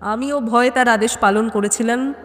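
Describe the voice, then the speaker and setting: Woman acting frail, halting old man in reverb. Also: very high-pitched, steady tone, fairly bright tone. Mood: guilty confession. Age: older. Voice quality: trembling, halting voice. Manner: stammers and repeats words. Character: frail old man confessing a murder, fearful, remorseful. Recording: long hall reverb.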